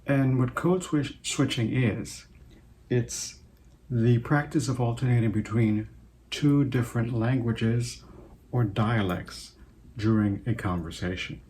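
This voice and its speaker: An older man in his sixties, raised in Trinidad, talks to his viewers as host of his own show. His voice sits in an ordinary middle pitch, and he speaks unhurriedly and reflectively, pausing often as he gathers his thoughts. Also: a little mellow, slight background noise. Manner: reflective, unhurried, with many pauses. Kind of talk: casual personal talk to camera.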